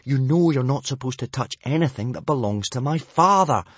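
A man's voice: mid-range voice, expressive, breathy edge, no room sound, voice pushed up. Man playing a meek, anxious Viking boy. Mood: scolding. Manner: hurried, apologetic, hesitant.

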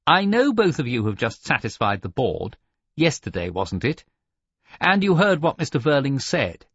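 A man's voice: mid-range voice, sing-song, normal speed, a bit bright, breathy edge, no room sound, lo-fi sound, pitched above the performer's own voice, heavily acted. A man voicing a stiff, officious and eager young naval lieutenant in an audiobook sea story. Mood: officious, sharp.

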